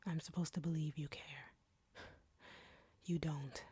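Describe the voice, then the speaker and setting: A woman playing an arrogant, flirty, menacing vampire in an intimate audio roleplay, with a breathy whisper and a taunting, deliberate delivery. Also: low voice, steady tone, warm tone, dry recording, exaggerated voice. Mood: cold, bitter.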